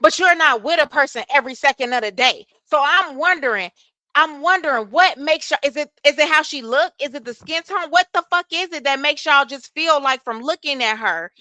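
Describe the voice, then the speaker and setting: A soft-voiced woman with hosts a live chat, her tone bright and forceful. She sits close to a dry microphone and answers viewers in an animated way, cursing freely. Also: sits high, moderate pace, dry recording. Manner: animated, talks back to chat, curses freely. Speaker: livestream host.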